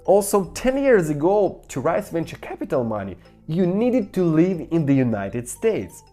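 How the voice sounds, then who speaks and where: A woman's voice: on the low side, sing-song, moderate pace, mellow, faint music behind. A woman with a Ukrainian accent giving a scripted business master-class, speaking emphatically and persuasively straight to the listener. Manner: emphatic, persuasive, addressing the viewer directly. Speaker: startup founder, business course presenter.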